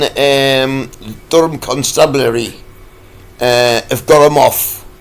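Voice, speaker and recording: A man, croaky from a cold, ranting in a rambling, halting vlog full of fillers. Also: mid-range voice. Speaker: vlogger.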